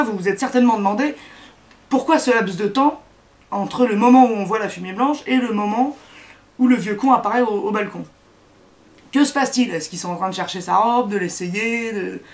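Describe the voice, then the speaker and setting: A man's voice: high-pitched, a little hiss or hum. Sarcastic man doing a mocking monologue. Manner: sarcastic, mocking, halting delivery. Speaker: satirical comedy host. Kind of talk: satirical comedy monologue.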